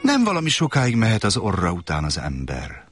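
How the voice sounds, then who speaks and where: A man voicing a small, gentle boy prince from a tiny planet, speaking high and full of wonder. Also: big pitch swings, slightly rough, dry recording, faint music behind.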